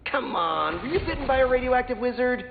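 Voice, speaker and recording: An actor playing a cheeky, eager young superhero in a video game, chatty, playful and full of questions. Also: male voice, very high voice, expressive, brisk, narrow, tinny audio, slight background noise. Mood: curious, teasing. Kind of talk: video game hero banter.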